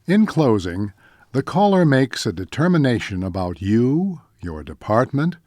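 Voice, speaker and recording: A man reading scripted instructional narration in a measured, deliberate way, like a training presenter. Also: mid-range voice, sing-song, a little mellow, dry recording, studio quality. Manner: measured, deliberate, enumerating points.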